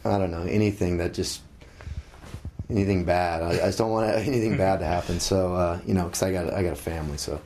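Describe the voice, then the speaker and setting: A middle-aged musician being interviewed, talking casually with many halting restarts. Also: male voice, low-pitched, steady tone, darkish tone, a little hiss or hum.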